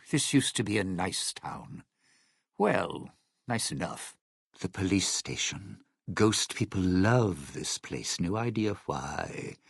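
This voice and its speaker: Raspy old lounge singer for a game, wry and scheming, slow talker, pitch swings all over. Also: male voice, fairly bright tone, no echo, clean studio sound, heavily acted. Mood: sardonic, swaggering. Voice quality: gravelly, raspy. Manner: theatrical, wry, sardonic showman delivery. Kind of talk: video game character acting.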